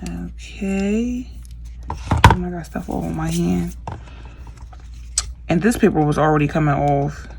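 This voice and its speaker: Woman, young adult, low-pitched, gravelly, casual chatty vlog talk to viewers. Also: slow, pauses often, background noise. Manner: chatty, many fillers, addresses viewers as "you guys". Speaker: craft vlogger. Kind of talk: casual how-to vlog chat.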